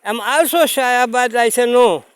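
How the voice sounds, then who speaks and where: A man whose first language is Urdu, vlogging outdoors by a mountain stream in halting, simple English with many fillers, filmed on a phone without a separate mic. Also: extremely high pitch, clean tone, no room sound. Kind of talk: casual vlog narration. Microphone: phone camera, no separate mic.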